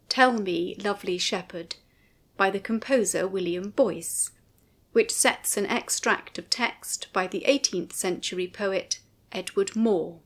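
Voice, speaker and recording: Woman reading a measured scripted commentary. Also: mid-range voice. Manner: measured, formal, carefully phrased reading. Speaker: writer and narrator of a music commentary. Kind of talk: scripted narration.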